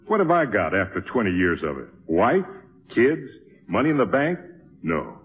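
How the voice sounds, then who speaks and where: Weary, low-voiced fatherly bandleader. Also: very animated, normal speed. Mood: grave, regretful. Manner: grave, plain-spoken, philosophical. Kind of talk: 1950s radio drama acting. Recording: tinny old radio broadcast, faint music.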